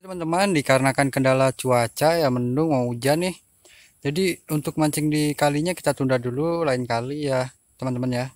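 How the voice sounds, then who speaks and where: A man's voice: medium pitch, fairly quick, no room sound. A young man from Indonesia, chatting informally to his viewers as a fishing vlogger, outdoors on a handheld phone. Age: young adult. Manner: informal, often addressing viewers as friends. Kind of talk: casual chat to camera. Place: outdoors by a pond and fields.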